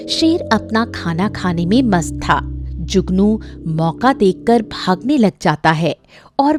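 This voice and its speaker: A woman narrating a scripted moral story for children as the storyteller. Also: medium pitch. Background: faint music.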